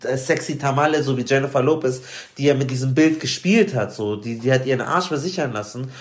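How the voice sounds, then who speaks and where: A man's voice: medium pitch, brisk. A man co-hosting a casual discussion, speaking informal, slangy German with bits of English mixed in. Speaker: talk show co-host.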